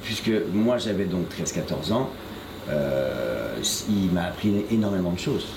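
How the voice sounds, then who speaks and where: Older man, very deep voice, hesitant, lots of fillers, echoey shop room, background noise. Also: lively intonation, measured pace. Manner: hesitant, many fillers and restarts. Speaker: voice actor guest. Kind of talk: interview, recounting career.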